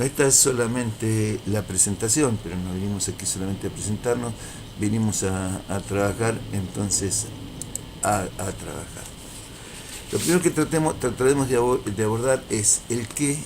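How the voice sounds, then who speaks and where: A man lecturing in a deliberate, didactic way, repeating key phrases for emphasis as he presents prepared material. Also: fairly low pitch, background noise. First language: Spanish. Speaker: university lecturer.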